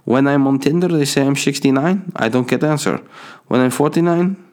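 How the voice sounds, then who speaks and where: A man's voice: mid-range voice, normal speed, a little mellow, voice pushed up, lightly acted. A man voicing a boastful older Dutch man with a Dutch accent.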